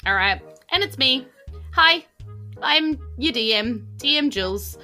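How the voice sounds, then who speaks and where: Kiwi woman hosting a podcast, high bright voice, unhurried, soft music under her. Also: animated. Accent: New Zealand. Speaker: podcast host and dungeon master. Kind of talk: casual chat.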